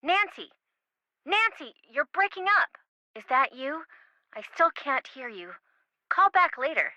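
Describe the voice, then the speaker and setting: Casting: a dramatic, slangy young female friend for a game; high, piercingly bright, lively delivery. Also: unhurried, dry recording, full character voice, rough recording. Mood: impatient, cheery. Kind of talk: video game voice acting.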